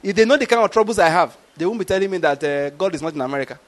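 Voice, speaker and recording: A man's voice: high voice, animated, very fast. A middle-aged man preaching a sermon, telling stories and asking rhetorical questions. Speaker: pastor.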